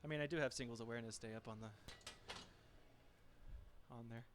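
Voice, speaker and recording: A soft-spoken man chatting casually with viewers as he cooks and cleans up in his home kitchen, reading chat messages aloud. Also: medium pitch, a little fast. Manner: relaxed, chatty, talks to viewers while working. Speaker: streaming home cook.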